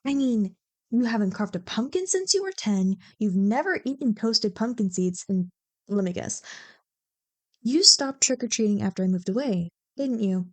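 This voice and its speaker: A woman playing a playful, teasing young childhood friend who loves Halloween, in an animated, bantering ASMR roleplay. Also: mid-range voice, moderate pace, natural voice. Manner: animated, bantering, mock-exasperated. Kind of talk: ASMR roleplay, one-sided scripted conversation.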